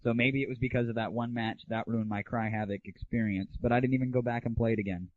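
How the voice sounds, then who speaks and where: A man's voice: medium pitch, steady tone, fast, no echo. A man hosting a gaming audio show, giving casual, slangy, opinionated and irreverent reviews. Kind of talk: casual solo rant and game review. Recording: narrow, phone-like 1990s internet audio.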